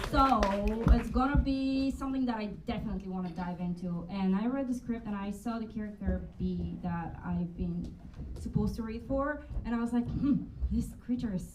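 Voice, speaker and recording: An actress tells her story animatedly and thoughtfully. She is picked up from a distance, with faint noise underneath. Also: mid-range voice. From Bulgaria. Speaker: actor on a panel. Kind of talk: panel Q&A answers.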